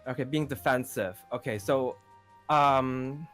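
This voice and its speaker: A man acting as an awkward but attentive counsellor figure in a game roleplay. He speaks at a middle pitch, haltingly, doubling back on his own words. Faint music runs underneath. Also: fairly bright tone, close to an everyday voice. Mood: attentive, mildly awkward. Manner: halting, repeats himself, murmured acknowledgements. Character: attentive, awkward male confidant taking notes like a counsellor. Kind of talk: improvised Minecraft roleplay dialogue.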